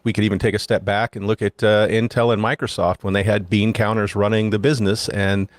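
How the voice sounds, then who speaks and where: A man chats through tech commentary on a panel podcast. The voice is moderately low, and the recording is dry and free of room echo. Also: little pitch movement, fairly quick.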